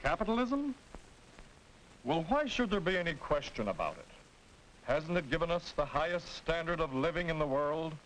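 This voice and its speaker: A man's voice: fairly high pitch, big pitch swings, normal speed, a bit bright, low-quality recording. A man playing a proud, confident citizen in a scripted 1940s street interview. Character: confident, proud defender of the status quo. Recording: hissy 1940s interview recording.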